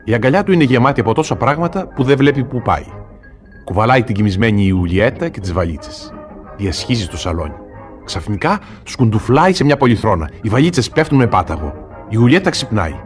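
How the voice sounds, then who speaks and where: A man reads scripted radio drama narration. His mid-pitched voice moves expressively up and down, with light music underneath. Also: sing-song.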